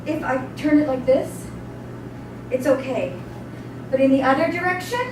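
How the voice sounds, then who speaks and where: A woman's voice: high voice, normal speed, lightly acted. A woman playing a meek, apologetic female servant, submissive and earnest, in a staged dramatic reading. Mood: meek, pleading. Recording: very echoey, distant mic, noisy room.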